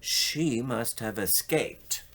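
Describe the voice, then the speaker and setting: A man's voice: medium pitch, lively intonation, drawn-out words, gravelly, bright, forceful tone, full character voice. A man reading a children's storybook, playing a helpful, plain-spoken woman farmer. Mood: dismayed. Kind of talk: children's storybook read-aloud.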